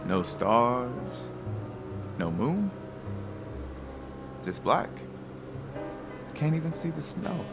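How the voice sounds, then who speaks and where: A man's voice: mid-range voice, big pitch swings, very slow, pauses often, over music, exaggerated voice. An actor playing a subdued, unsure aging male prizefighter in an audio drama.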